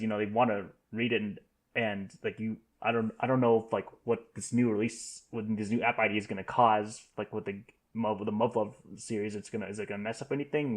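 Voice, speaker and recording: A man hosting a podcast, casually going through news in a halting way, often correcting himself. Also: on the low side. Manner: halting, self-correcting delivery. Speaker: podcast host.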